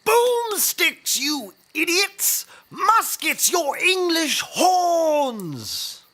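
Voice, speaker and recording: An actor playing a pompous, bossy hunter in a scripted comedy, declaiming in a grandiose mock-heroic way. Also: male voice, very high-pitched, very animated, very slow, sharp tone, full character voice. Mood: exasperated.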